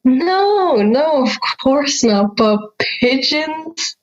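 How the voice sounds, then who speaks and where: Slightly muffled, high-voiced questioning schoolgirl, acted by a young woman. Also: lively intonation, very slow, heavily acted. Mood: teasing, puzzled. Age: young adult. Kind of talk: audio drama dialogue.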